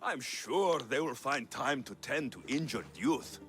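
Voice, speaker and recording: An actor playing a cocky, confident Greek mercenary hero in a video game, dry and taunting. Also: male voice, mid-range voice, animated, unhurried, music in the background, close to an everyday voice.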